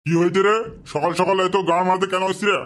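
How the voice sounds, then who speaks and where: High-voiced, grumpy cartoon dad acted by a man. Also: fairly even intonation, quick pace, a bit bright, faint music behind. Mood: irritated. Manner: gruff, threatening, swearing. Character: grumpy, foul-mouthed, stingy cartoon father. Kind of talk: cartoon comedy dialogue.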